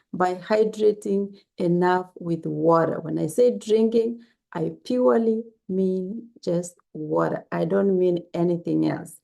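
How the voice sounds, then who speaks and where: A woman's voice: lowish voice, creaky. A woman from Kenya, giving earnest, repetitive health advice in a casual vlog. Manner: earnest, repetitive, gently instructive. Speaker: health vlogger.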